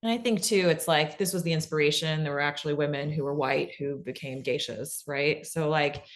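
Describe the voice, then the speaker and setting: A woman presenter speaks informally to a workshop, with a voice a little lower than average. She is encouraging as she takes questions, and her sentences are peppered with "like" and checking "right?" tags. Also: a little fast. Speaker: presenter answering audience questions.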